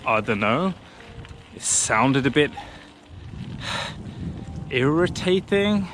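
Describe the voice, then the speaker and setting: A man's voice: medium pitch, expressive, slow, background noise. Chatty man vlogging outdoors. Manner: chatty, self-deprecating, upbeat. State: out of breath pushing uphill. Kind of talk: casual vlog to camera. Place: outdoors on a country path. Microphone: camera on a pushchair, walking.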